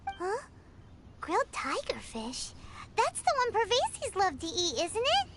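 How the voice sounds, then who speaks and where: A woman voicing a tiny, childlike floating fairy mascot, excitable and food-loving, with a squeaky voice in a video game. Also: unhurried, heavily acted. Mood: thoughtful. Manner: exclamatory, refers to self in third person. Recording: loud music behind.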